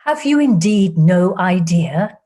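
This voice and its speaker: A woman reading an audiobook, voicing an anxious, gentle older woman who breaks startling news in a loud, trembling voice. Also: lowish voice, expressive, takes it slowly. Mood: urgent.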